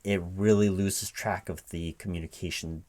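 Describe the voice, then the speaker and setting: Man, lowish pitch, spoken ASMR interview roleplay, manager character, halting, repeats himself, puzzled delivery. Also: steady tone, a little mellow. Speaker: roleplay ASMR performer playing a recruiting manager. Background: computer keyboard typing.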